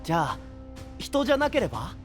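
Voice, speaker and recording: A man playing a cheerful, easygoing young guide to sea legends in an anime-style audio drama, explaining in a breezy, drawn-out way. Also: sits high, wildly swooping pitch, music in the background.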